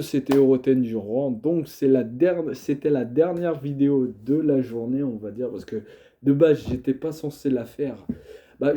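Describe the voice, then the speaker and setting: Dark-voiced young man with a cold. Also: medium pitch. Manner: hesitant, many fillers and restarts. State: has a cold, coughing. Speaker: video creator. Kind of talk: casual monologue to camera.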